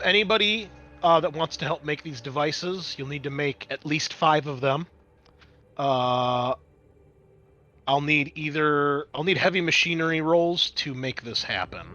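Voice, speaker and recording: A man's voice: on the high side, unhurried, pushed and bright. A man acting as game master, explaining the scenario and rules of a tabletop roleplaying game in a conversational, improvised way.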